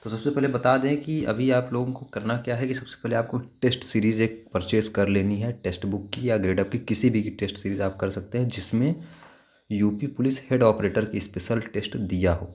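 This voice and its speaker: A man's voice: fairly low pitch, darkish tone. A man gives an informal instructional walkthrough as an exam preparation teacher, advising step by step and repeating key points.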